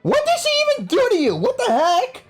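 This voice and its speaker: A woman's voice: extremely high pitch, huge pitch swings, fairly quick. A woman hosting a gaming video, giving casual, slangy, exclamatory reaction commentary. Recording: game music behind voice. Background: faint game music.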